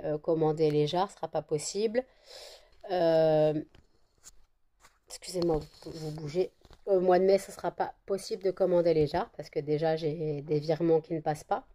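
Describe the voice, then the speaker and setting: A woman chats to her phone at home in a loose, meandering way, full of hesitations and restarts. Her voice sits a little low and sounds mellow and soft. She is still a bit congested from a cold. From France. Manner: meandering, many fillers and restarts. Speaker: vlogger, candle maker. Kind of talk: casual rambling chat to camera. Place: at home indoors.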